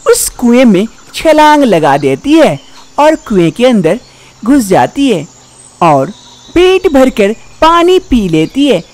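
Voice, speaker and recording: Lively, slow-paced woman storyteller. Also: medium pitch. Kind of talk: scripted narration of children's animal fable.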